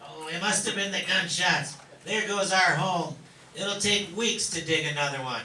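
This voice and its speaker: A very sharp, forceful voice in an echoey room, set back from the mic. A man acts out a petulant, scheming Easter Bunny villain in a comic radio play, greedy and full of grudges. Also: high-pitched, moderate pace. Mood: sheepish. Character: greedy, resentful Easter Bunny gang boss holding Santa hostage. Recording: roomy, distant hall recording with noise.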